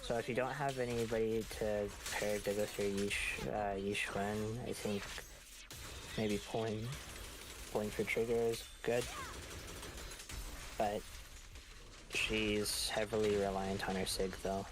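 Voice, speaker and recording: A man's voice: fairly low pitch, level tone, unhurried, long pauses, background noise. A man in a casual gaming chat, explaining things in a hesitant way. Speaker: co-commentator giving game advice.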